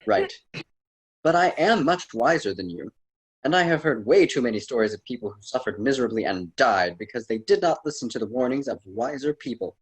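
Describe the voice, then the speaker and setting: A man playing a wise, admonishing storyteller mentor in an amateur radio drama, formal and slightly husky. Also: mid-range voice, lively intonation, fairly quick, poor audio. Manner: formal, solemn, didactic.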